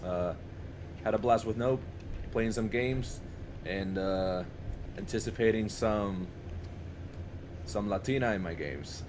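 A man's voice: on the low side, takes it slowly, pauses often, background noise. A young man chatting casually and enthusiastically with friends as a podcast co-host, often restarting and repeating himself mid-thought. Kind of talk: casual chat among friends.

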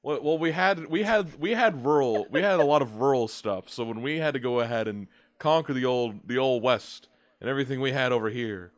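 A man in his early twenties from the United States, hosting a casual video chat, speaking haltingly with many restarts. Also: mid-range voice. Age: 20s. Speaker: host interviewer.

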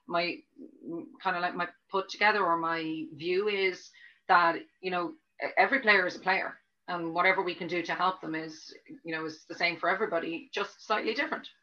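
A woman's voice: lowish voice, fairly even intonation, fairly quick, sharp tone. A middle-aged Dublin woman, a tennis coach, answering interview questions in a chatty, rambling way full of restarts. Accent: Dublin Irish. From Ireland. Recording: dull lo-fi audio.

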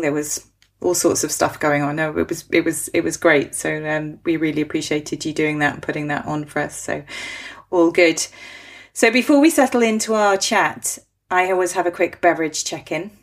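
A woman hosting a podcast, chatty and upbeat, joking and laughing easily as she interviews a guest. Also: on the low side. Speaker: podcast host. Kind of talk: casual conversational interview.

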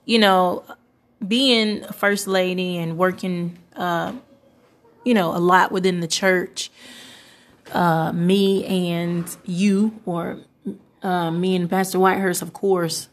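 A woman's voice: lowish voice, fairly even intonation, slow. Earnest young woman, church first lady. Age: 20s. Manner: earnest, hesitant with many fillers. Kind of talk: interview answer, casual and reflective.

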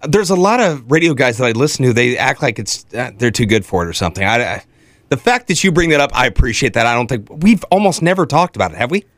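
A man's voice: medium pitch, very animated, quick pace, a bit bright, no echo. A man co-hosting sports radio, debating casually and emphatically, often repeating himself, close on a studio microphone. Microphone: close studio broadcast microphone.

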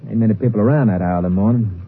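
An American actor playing an eager small-time crook in a 1950s radio drama, with slangy, uneducated, insistent speech. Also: male voice, lowish voice, rapid-fire, close to an everyday voice. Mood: conspiratorial. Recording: tinny, noisy 1950s radio recording.

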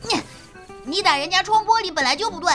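A woman's voice: very high voice, lively intonation, fast, loud music behind the voice. A woman voicing a timid but indignant little schoolboy in an audio drama, muttering hesitantly to himself. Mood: defensive. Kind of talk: Chinese audio drama acting. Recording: reverberant, noisy with clicks and crickets.

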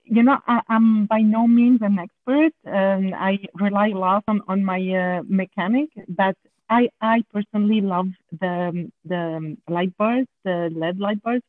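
A woman with a French Canadian accent, a podcast guest chatting in a halting way with many restarts. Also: medium pitch. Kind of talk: casual podcast chat. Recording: tinny phone-line audio.

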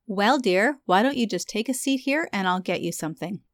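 A man putting on a sweet, fussing receptionist woman in a read-aloud story. She is high and soft and calls everyone dear. The voice sounds slightly set back from the mic. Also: expressive, brisk, no room sound, studio quality. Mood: motherly. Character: kindly plump older woman receptionist. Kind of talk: audiobook character voice.

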